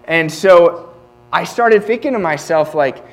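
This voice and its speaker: A man's voice: high voice, expressive, unhurried. Earnest young man preaching a sermon. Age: 20s. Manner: earnest, conversational preaching with frequent restarts.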